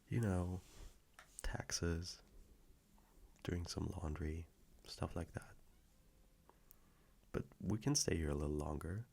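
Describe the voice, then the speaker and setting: A very low, heavily creaky male voice of an actor plays an affectionate boyfriend in an intimate audio roleplay. He speaks softly and slowly, gentle and reassuring, sometimes slipping into breathiness. A faint noise sits behind the close recording. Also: exaggerated voice. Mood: relaxed, cozy. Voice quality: low, gravelly, breathy at times. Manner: soft, slow, reassuring, intimate.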